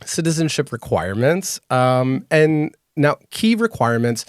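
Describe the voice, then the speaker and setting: A man hosting a podcast, explaining clearly in a measured, scripted way and interviewing a guest. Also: medium pitch, dry recording, clean studio sound. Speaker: podcast host.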